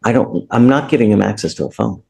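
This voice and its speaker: An American man speaking as a judge in a courtroom discussion, plain-spoken and firm, often halting and repeating himself. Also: lowish voice, expressive, creaky, a little mellow.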